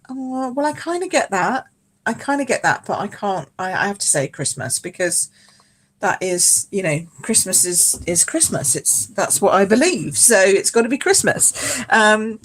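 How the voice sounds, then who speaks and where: Croaky, husky-voiced British woman chatting. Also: medium pitch. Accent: British English. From United Kingdom. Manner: chatty and rambling, greets viewers by name, laughs often. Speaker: card-making demonstrator and live class host. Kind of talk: casual live craft demo, chatting with viewers. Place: home craft space.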